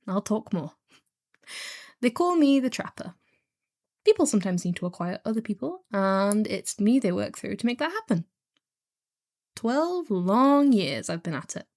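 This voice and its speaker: Female actor as heartless female kidnapper for hire, audio roleplay: bored, snarky, casual, with swooping intonation. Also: mid-range voice. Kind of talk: scripted one-sided audio roleplay drama.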